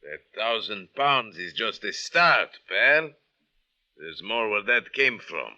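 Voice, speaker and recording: A man's voice: fairly low pitch, very animated, takes it slowly, bright, forceful tone, full character voice. A man playing a menacing thug in an old-time radio drama, with a tough, taunting, clipped manner. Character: menacing, mean-looking male thug with a knife. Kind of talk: 1940s radio acting. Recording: muffled, tinny old radio recording.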